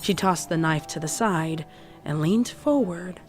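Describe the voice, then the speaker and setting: A woman narrating a scripted horror story in a deliberate, dramatic way. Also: on the low side, animated, faint music behind.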